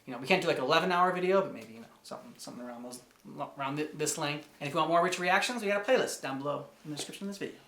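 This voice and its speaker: A man hosting a reaction channel, chatting informally in a halting, casual way. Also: a little high, sharp tone. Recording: echoey room.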